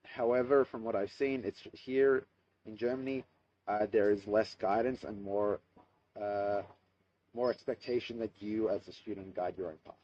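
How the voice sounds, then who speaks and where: A man's voice: lowish voice, fairly even intonation, normal speed, warm tone, a little hiss or hum. A young man, answering interview questions about his studies in a thoughtful, hesitant way, with many fillers and restarts. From Australia. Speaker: interview guest, archaeology student. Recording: distant mic, muffled lo-fi sound.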